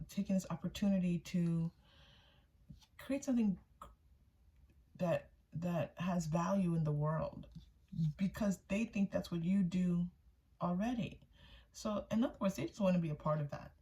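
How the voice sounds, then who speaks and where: A woman's voice: on the low side, warm tone. A woman giving a casual, intuitive tarot reading for viewers, often repeating phrases for emphasis. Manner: voices another person's thoughts in first person. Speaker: tarot reader, channel host.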